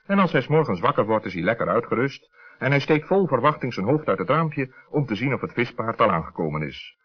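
A man narrating a scripted children's story as an expressive storyteller. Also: mid-range voice, a little fast. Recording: dull 1960s radio recording.

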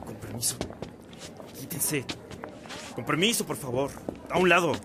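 A man's voice: mid-range voice, lively intonation, measured pace, close to an everyday voice. A male actor playing an irritated, curt priest in a radio drama. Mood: annoyed. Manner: curt, grumbling. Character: irritated, brusque, jealous priest. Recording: noisy outdoor crowd-scene sound bed.

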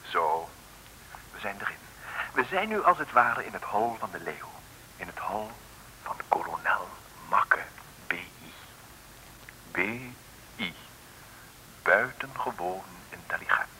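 A man's voice: sits low, sing-song, measured pace, long pauses, sharp tone, exaggerated voice. A man acting as a sly, conspiratorial narrator of an old Dutch comic radio serial, addressing the listener, on a noisy vintage recording. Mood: secretive, teasing. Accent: Dutch from the Netherlands. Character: sly conspiratorial storyteller guiding listeners around.

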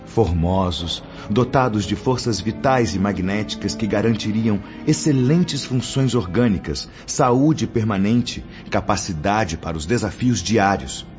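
A solemn, kindly spirit teacher played by a man with a low voice and a Brazilian accent. He instructs in formal radio-drama diction. The sound is muffled, with music prominent behind him. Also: a little slow, hardly any pauses, natural voice. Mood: grave, admonishing. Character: Brother Clemente, kindly solemn male spirit mentor instructing apprentices.

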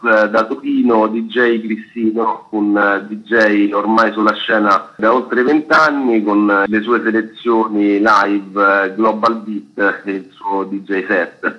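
A man's voice: on the low side, pushed and bright, rough recording. A man speaking as a festival organizer, announcing an event programme in an informational way and listing details.

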